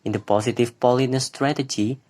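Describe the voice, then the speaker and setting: A man's voice: mid-range voice, steady tone, fast, darkish tone. A young man with an Indonesian accent, a student presenting class slides who switches into Indonesian to explain, with hesitant fillers. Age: young adult. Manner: plain reading, with hesitant fillers when explaining.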